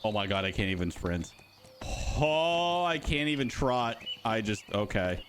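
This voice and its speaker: A man's voice: on the low side, expressive, a little slow, a bit bright, slight background noise. A man hosting a casual live stream for a game studio, chatting and answering viewers' questions. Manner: chatty, rambling, talks to chat.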